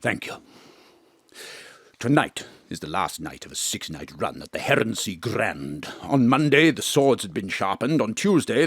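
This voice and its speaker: Husky, pompous old stage thespian. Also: male voice, fairly low pitch, dry recording, clean studio sound, exaggerated voice. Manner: theatrical, grandiloquent declaiming. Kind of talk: comic audio drama acting.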